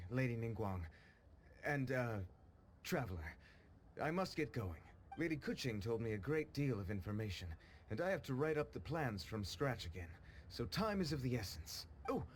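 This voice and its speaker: An actor playing a deferential, hurried young assistant in a video game, speaking politely and a little hesitantly. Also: male voice, medium pitch, big pitch swings, a little hiss or hum, lightly acted. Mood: hurried, courteous. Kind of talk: English video game voice acting.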